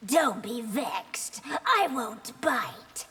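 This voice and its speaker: An actress playing a regal, playful, faintly predatory fantasy empress in a video game, raspy, measured and teasing. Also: female voice, a little high, very animated, bright, forceful tone, full character voice. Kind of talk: video game fight banter. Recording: reverberant game hall sound.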